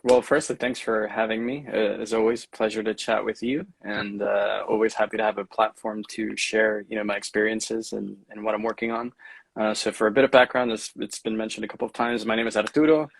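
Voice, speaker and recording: Low gravelly-voiced young man. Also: no room sound, low-quality recording. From Venezuela. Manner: relaxed, measured storytelling with many fillers. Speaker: guest artist. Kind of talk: casual livestream chat.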